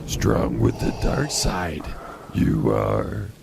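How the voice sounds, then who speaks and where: Creaky, slow ancient creature master. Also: male voice, low-pitched, wildly swooping pitch, very noisy, heavily acted. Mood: wry, guarded. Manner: inverted word order, halting, humming and chuckling. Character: ancient wise creature master, cryptic and defiant.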